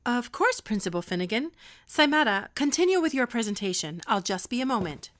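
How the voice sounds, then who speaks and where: A woman playing a stern but caring American high school history teacher in an audio drama, firm and authoritative. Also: big pitch swings, a bit bright, a little hiss or hum. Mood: composed. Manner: firm, scolding, measured authority.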